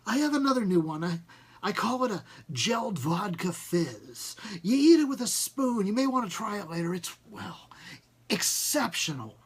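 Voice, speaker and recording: A man's voice: sits high, sing-song, normal speed, husky, heavily acted. A man voicing a small, chubby, shy but eager male inventor in a story reading, stammering modestly as he speaks. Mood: shyly proud. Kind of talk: audiobook character dialogue.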